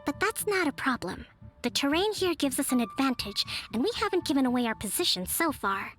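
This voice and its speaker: Brief: a poised young leader, higher voice, measured formal delivery, soft game music under it. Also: female voice, animated. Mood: strategic. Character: young woman leader and strategist, calm and composed. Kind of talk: game voice acting.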